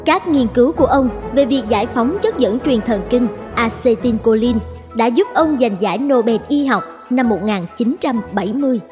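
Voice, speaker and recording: A woman narrator reading a scripted, documentary-like story introduction. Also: fairly high pitch. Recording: clear music behind narration.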